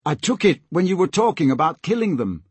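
A man plays a brave young boy in a storybook, pitched up from his own voice. The delivery is defiant and plain, calling out short answers with a very husky edge. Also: big pitch swings, brisk, no echo, full character voice. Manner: shouting across distance, short plain answers.

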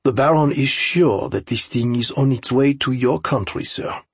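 A man's voice: medium pitch, big pitch swings, a little slow, husky, no room sound. A man voicing an earnest young European aide who interprets for his master in a formal, polite way, in an audiobook story. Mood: calm, urgent.

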